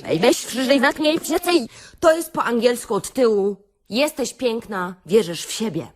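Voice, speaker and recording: Casting: actress as brash female beautician for comic radio monologue; higher-pitched, highly animated delivery, theatrical sarcasm. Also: unhurried. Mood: wry, scheming. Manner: theatrical, sardonic, addresses listeners with rhetorical questions. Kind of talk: satirical radio-play monologue, stand-up-like lecture.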